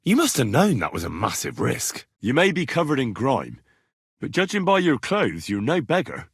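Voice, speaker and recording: A man's voice: medium pitch, huge pitch swings, moderate pace, gravelly, husky, no echo, studio quality, exaggerated voice. A man voicing a young medieval man-at-arms in a video game, earnest, cheeky and plain-spoken, talking in a direct, inquisitive way. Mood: curious, wry. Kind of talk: video game dialogue acting.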